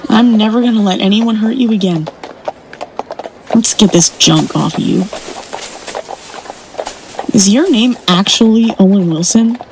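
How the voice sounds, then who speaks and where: A woman's voice: medium pitch, a little slow, long pauses, a little mellow, a little hiss or hum, natural voice, rough recording. A woman playing a kind, protective rancher in a western audio drama, earnest and caring. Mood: gentle. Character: kind, protective young rancher devoted to a horse.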